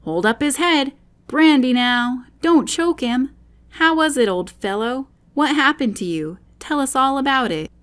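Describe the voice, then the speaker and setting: A woman's voice: sits high, lively intonation, a little slow, fairly bright tone, pitched above the performer's own voice. A woman voicing bustling little animal workers in a storybook, calling out short, urgent instructions.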